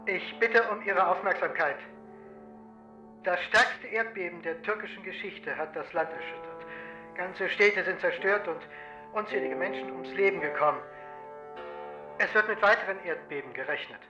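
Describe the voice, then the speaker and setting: A man's voice: sits high, moderate pace, pushed and bright. A man acting as a formal, official ship announcer relaying grave news in a radio play. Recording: dull echoing announcement with music behind.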